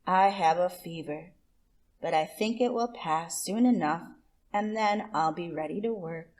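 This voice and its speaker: A woman voicing a lazy, sly male trickster rabbit from a storybook, full of innocent-sounding, drawn-out excuses. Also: lowish voice, a little mellow, lightly acted. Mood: feigning sickness.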